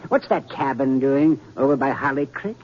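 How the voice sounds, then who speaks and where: An actor playing a miserly, crotchety old western rancher, folksy and blustering, in old-time radio drama style. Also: male voice, mid-range voice, moderate pace, close to an everyday voice. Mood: stern, callous. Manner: blustering, folksy, stammering when flustered. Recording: dull, noisy vintage radio recording.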